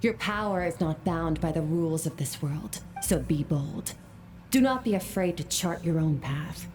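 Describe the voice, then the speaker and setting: A woman voicing a stern, composed female sword mentor in a game, speaking in a calm, measured, instructive way. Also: low-pitched, faint music behind. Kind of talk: game cutscene voice acting.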